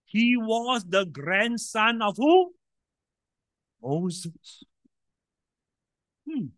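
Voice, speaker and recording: Loud, emphatic male preacher. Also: high voice, big pitch swings, drawn-out words, dry recording, studio quality. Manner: emphatic, with sudden swings in loudness and rhetorical questions. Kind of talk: sermon.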